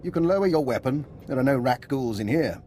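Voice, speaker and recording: An actor playing an unflappable, chatty doctor working as a spy in a video game, precise and breezy. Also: male voice, medium pitch, animated, fairly quick, dark tone, a little hiss or hum, natural voice. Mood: breezy, unconcerned. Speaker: intelligence scientist.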